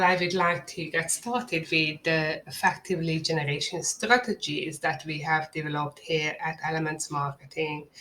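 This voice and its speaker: A woman with a Hungarian accent, an agency owner giving a scripted webinar presentation in an earnest, measured, salesy way. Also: low-pitched, a bit bright, a little hiss or hum, poor audio.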